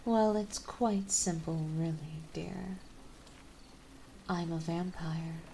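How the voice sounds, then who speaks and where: A woman playing a genteel, possessive vampire mistress in an ASMR roleplay, speaking softly, politely and soothingly. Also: lowish voice, creaky, a little hiss or hum. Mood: calm, candid. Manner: soothing, softly spoken, polite, unhurried, doting. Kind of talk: ASMR roleplay acting, partly whispered.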